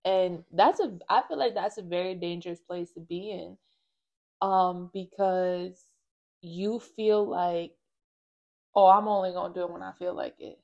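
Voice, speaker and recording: A woman in a casual faith discussion, talking in an animated, emphatic way, often saying "like" and describing her own voice as loud and projected. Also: lowish voice, unhurried, dull audio.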